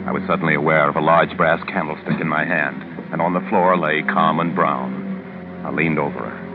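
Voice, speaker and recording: An actor playing a proud, calculating New York criminal lawyer with a guilty secret, narrating in a measured, articulate 1940s radio drama style. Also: male voice, rumbling low, steady tone, background noise. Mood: stunned, grim. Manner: measured, articulate, self-important. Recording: narrow, muffled vintage radio recording with music.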